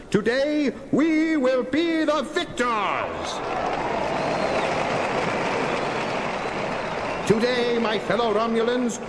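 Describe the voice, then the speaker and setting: A man's voice: very high voice, very noisy, exaggerated voice. A man playing a gloating, grandiose Romulan commander in a theatrical, declamatory seventies audio drama style. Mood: grandiose, triumphant. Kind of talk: 1970s audio drama acting.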